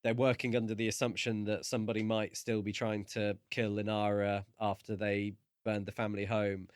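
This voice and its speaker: A man co-hosting a podcast, chatting casually about a book and reasoning his way through in hesitant, measured phrases. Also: on the low side, little pitch movement, clear, resonant tone. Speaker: podcast co-host. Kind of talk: casual book discussion chat.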